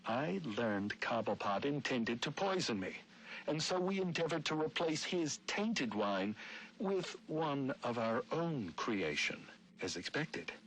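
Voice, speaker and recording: An actor playing a vengeful nineteenth-century gentleman schemer narrating his journal in a game, pompous, florid and melodramatic. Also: mid-range voice, very animated, slow pace, slight background noise, heavily acted, rough recording. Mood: grave, measured. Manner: pompous, florid, melodramatic, with cackling and hushed menace. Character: gleefully vengeful 19th-century gentleman schemer, theatrical diarist.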